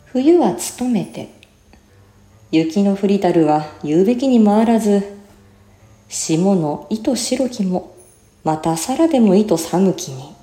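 A woman reciting a classical literary essay in a measured manner, with careful, clear enunciation. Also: mid-range voice, expressive, mellow. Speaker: reciter and voice actor. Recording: roomy reverb with faint music behind. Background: faint music.

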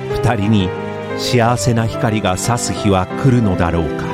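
A man narrating a dramatic scripted trailer in a solemn, deliberate manner. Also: lowish voice, lightly acted. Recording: loud music under the voice.

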